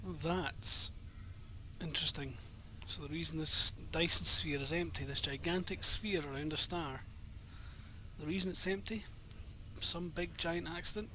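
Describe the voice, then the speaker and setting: A man's voice: a little high, fairly even intonation, a little slow, bright, forceful tone, a little hiss or hum, poor audio. A man giving casual, musing commentary on a game. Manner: musing, conversational. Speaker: gameplay commentator.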